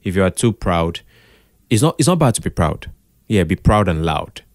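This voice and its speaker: A Nigerian man with a deep voice gives relaxed, conversational interview answers. He is expressive, drops into Pidgin and repeats phrases for emphasis. The sound is dry, with little room around it. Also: normal speed, dry recording. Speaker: podcast guest, radio drama producer. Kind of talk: casual interview chat.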